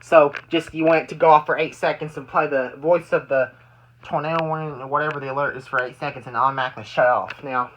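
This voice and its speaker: Casting: home vlogger, sharp bright tone, casual show-and-tell, halting and repetitive. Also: medium pitch, fairly even intonation, a little hiss or hum, poor audio. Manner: repetitive, halting explanations with repeated phrases. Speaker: hobbyist vlogger. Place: indoors at home.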